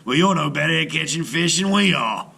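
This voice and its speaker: A man's voice: fairly high pitch, lively intonation, a little fast, vocal fry, pitched above the performer's own voice, heavily acted. A man voicing a jealous, scornful older brother in a storybook read-aloud. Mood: angry, jeering. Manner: sneering, growling, shouting.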